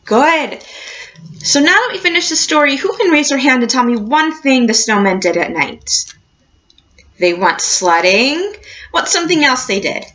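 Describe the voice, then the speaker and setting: A woman reading a picture book aloud like a teacher, expressive and encouraging, addressing young children. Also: high-pitched, moderate pace, dull audio. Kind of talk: storybook read-aloud with questions to a class.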